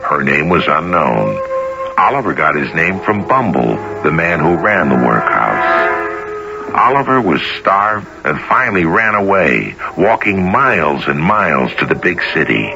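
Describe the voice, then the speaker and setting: A man's voice: very deep voice, gravelly, exaggerated voice. A man narrating a radio play as a scripted storyteller. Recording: dull lo-fi. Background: music.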